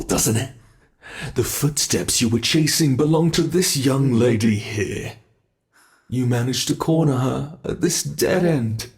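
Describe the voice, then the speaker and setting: A man playing a menacing monster in an ASMR roleplay, taunting captives with theatrical, deliberate mock politeness. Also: mid-range voice, gravelly. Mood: smug.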